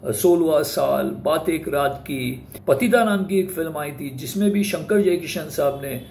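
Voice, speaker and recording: A high-voiced man presents as host in an informal, explanatory way. The tone is respectful, with English words dropped in. The sound is roomy and echoing, with faint noise behind. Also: measured pace, vocal fry, a little mellow. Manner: speaks Hindi with English words mixed in. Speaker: host presenting film music history. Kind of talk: informal explanatory talk to viewers.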